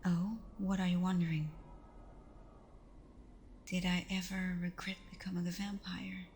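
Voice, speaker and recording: Slow, low-voiced tender vampire girlfriend, acted by a woman. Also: flat delivery, a little hiss or hum. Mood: teasing, reassuring, then wistful, candid. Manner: soft, breathy, often dropping into whispers, hesitant pauses. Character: tender female vampire girlfriend, reassuring, playful, a little vulnerable. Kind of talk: intimate ASMR girlfriend roleplay.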